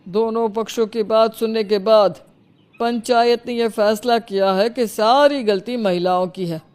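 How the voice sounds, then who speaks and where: A woman's voice: a little high, a little slow, darkish tone, smooth, close to an everyday voice. A woman voicing a stern, domineering older male village council head in a cartoon story, scolding with authority.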